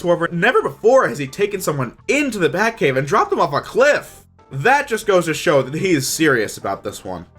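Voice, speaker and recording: A man's voice: a little high, fairly bright tone. A young man narrating a scripted but casual video review in an informal, opinionated way.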